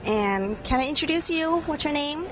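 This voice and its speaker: A young woman interviewing students at a game event, asking short, bright questions into a handheld mic in a large room. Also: sits high, animated, normal speed, background noise.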